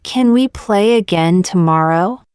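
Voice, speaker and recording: A woman reading short practice sentences aloud for learners, saying each one twice, slowly and carefully. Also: lowish voice, a little mellow, clean tone, no room sound, clean studio sound. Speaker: English teacher.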